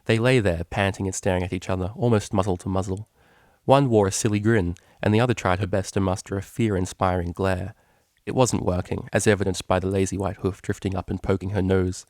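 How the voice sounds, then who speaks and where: Male story narrator, lowish register, recorded dry and close. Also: steady tone, fairly quick, a little mellow, no echo. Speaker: narrator, fan fiction reader.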